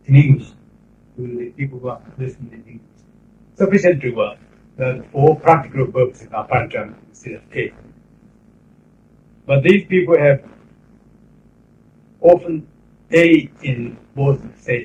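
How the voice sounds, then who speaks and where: A middle-aged man with a Japanese accent, lecturing a class in English about interpreting in a measured, explanatory way with pauses between phrases. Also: fairly high pitch, darkish tone, slight background noise. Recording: roomy, distant, dull 1990s classroom tape.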